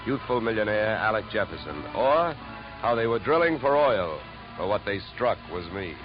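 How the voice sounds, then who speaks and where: An American man playing a wry, hard-boiled insurance investigator in a vintage radio drama, with clipped deadpan delivery. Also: on the low side, normal speed. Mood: brisk, dry. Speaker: lead actor in a radio detective drama. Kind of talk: 1950s radio drama acting and first-person narration. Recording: dull vintage radio recording with music.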